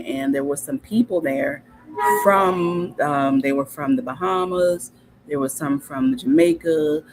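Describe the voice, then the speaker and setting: A woman from South Carolina, a podcast guest telling stories in casual conversation, thoughtful and often stopping to restart with ums. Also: deep voice. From Hilton Head, South Carolina.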